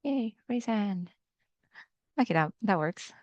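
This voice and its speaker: A woman's voice: medium pitch, lively intonation, takes it slowly, a little mellow, no echo, lo-fi sound. A woman with a Quebec French accent, French her first language, giving a live webinar lecture with many hesitant fillers over a video call. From Quebec, Canada. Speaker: acupuncturist webinar presenter.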